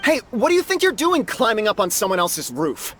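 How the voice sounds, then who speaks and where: Exasperated shopkeeper, animated and high-pitched. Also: male voice, a little fast, a little hiss or hum, heavily acted. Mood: annoyed. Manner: scolding, weary, lecturing. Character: exasperated but forgiving male shop owner. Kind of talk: scripted video game voice acting.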